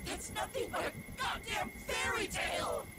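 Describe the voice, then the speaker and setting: A woman's voice: fairly high pitch, sing-song, unhurried, very echoey, long reverb tail, distant mic, a loud crowd, very noisy. A woman playing a bitter, taunting female shadow being in a dramatic anime-style performance, theatrical and mocking. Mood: furious, despairing. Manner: theatrical, shouting, then cold and mocking.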